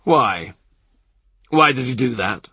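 A man voicing a calm, calculating male statesman asking short, measured questions in an audiobook. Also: mid-range voice, slightly rough, dry recording, exaggerated voice. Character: cool, calculating male statesman, quietly vengeful.

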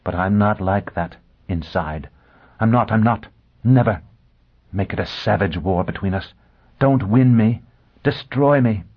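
Storybook noblewoman played by a male narrator: lifted pitch, husky breath, amused hauteur, icy and fierce, on a slightly dull recording. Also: lively intonation, a little slow. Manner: amused, haughty, theatrical.

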